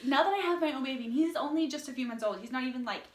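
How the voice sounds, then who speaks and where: A woman's voice: high-pitched, racing. A young woman co-hosting a book review, chatting informally and expressively with personal asides. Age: young adult. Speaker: co-host of a book review channel. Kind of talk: casual chat, personal anecdotes. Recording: roomy indoor echo.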